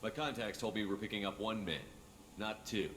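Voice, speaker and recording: An actor playing a no-nonsense military commander in a sci-fi game, terse and authoritative. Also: male voice, on the low side, fairly even intonation, moderate pace, a bit bright, a little hiss or hum, natural voice. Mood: firm, businesslike. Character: decisive, no-nonsense male military space commander. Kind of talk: video game dialogue. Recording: long echo, music behind.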